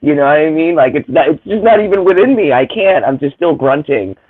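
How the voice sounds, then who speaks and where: A man from Boston, a comic creator chatting casually on a livestream, talking with sarcastic, animated energy. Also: on the high side, a little fast, dry recording. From Boston, Massachusetts. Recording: tinny phone-like audio.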